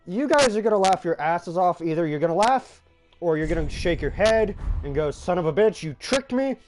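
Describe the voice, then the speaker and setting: Male creator, on the higher side, casual and chatty viewer address over music. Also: animated, normal speed, darkish tone. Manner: informal, chatty, mild swearing. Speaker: video creator and host. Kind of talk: casual video intro to viewers.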